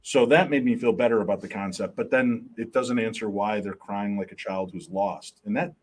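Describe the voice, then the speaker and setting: Man in his fifties, husky, booming, animated storyteller, live podcast guest. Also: normal speed. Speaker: paranormal investigator guest. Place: live event stage.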